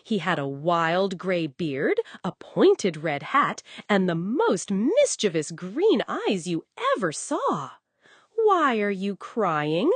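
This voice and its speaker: A woman's voice: on the low side, big pitch swings, slow, hardly any pauses, breathy edge, dry recording. A woman narrating a scripted bedtime story for young children, coddling the listener with endearments.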